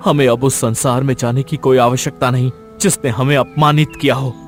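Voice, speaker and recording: An earnest, protective village father from a cartoon, played by a man, poor and devoted. His voice is mid-pitched, with light music behind it. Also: steady tone, fairly quick, close to an everyday voice. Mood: reassuring. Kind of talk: Hindi cartoon voice acting.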